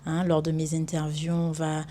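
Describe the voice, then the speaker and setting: Woman, young adult, from Guadeloupe, low voice, chatty, enthusiastic vlog intro. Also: monotone, normal speed, dark tone. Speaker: YouTuber. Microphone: microphone with camera in front.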